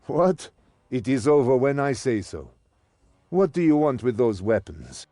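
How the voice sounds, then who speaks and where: Stern, expressive old mentor. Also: male voice, medium pitch, unhurried, dry recording, studio quality. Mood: indignant. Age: older. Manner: theatrical, commanding. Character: stern old male mentor, servant of a master. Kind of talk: scripted game cutscene acting.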